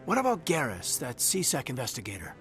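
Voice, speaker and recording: An alert, eager military man in a video game. He talks quickly with a widely moving pitch over faint music. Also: wildly swooping pitch, fairly bright tone.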